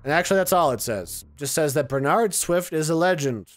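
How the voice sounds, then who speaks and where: A man's voice: on the high side, expressive, brisk, no room sound. A man giving casual, chatty and opinionated commentary as the host of a game preview. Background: music.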